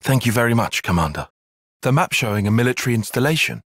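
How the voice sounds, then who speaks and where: An actor playing a young soldier strategist in a video game, formal, bookish and wry, easily flustered. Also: male voice, medium pitch, lively intonation, fairly bright tone, slightly rough, no room sound, clean studio sound. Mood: earnest. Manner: formal, measured, precise, dryly sardonic. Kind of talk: video game cutscene voice acting.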